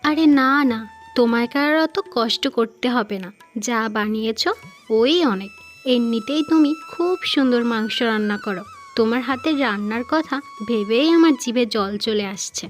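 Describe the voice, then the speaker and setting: A woman playing an earnest, gentle-mannered village woman in a moral-story cartoon, speaking conversationally. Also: a little high, normal speed, faint music behind, lightly acted. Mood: affectionate.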